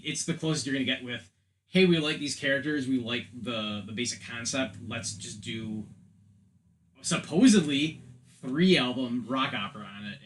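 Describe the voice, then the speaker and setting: A man's voice: medium pitch, fairly bright tone, rough recording. A man co-hosting a podcast in casual, opinionated chat. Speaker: podcast co-host.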